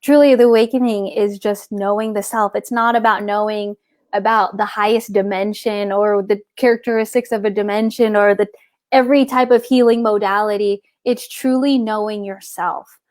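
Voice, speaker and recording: A woman's voice: mid-range voice, steady tone, moderate pace, no echo, clean studio sound. A middle-aged woman, a guest author, talking casually and rambling, often searching for words and restarting. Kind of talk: casual spiritual conversation.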